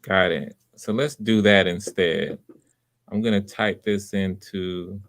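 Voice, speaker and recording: A man hosting live auditions in casual, unscripted chat, with an encouraging manner and a halting way of repeating and backtracking. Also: deep voice, steady tone, a bit bright, no echo. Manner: encouraging, supportive. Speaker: host running live voice auditions.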